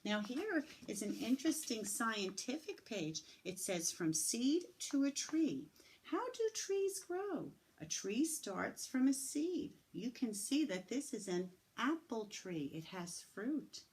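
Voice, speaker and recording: A woman teacher reading a picture book aloud to young children, slow and sing-song, stretching words and asking them questions. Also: a little high, sing-song, darkish tone. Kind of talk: storybook read-aloud lesson for young children.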